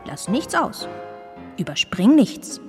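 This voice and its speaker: A woman's voice: a little high, big pitch swings, slow, music in the background. An actress in a radio play playing a commanding woman who urges a child on insistently. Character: urgent, commanding woman urging a child to write everything down.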